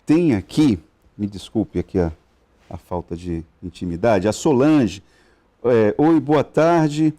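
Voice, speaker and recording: A man's voice: medium pitch, mellow. A male TV host interviewing a guest in a studio, talking with many fillers and restarts. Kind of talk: live TV interview.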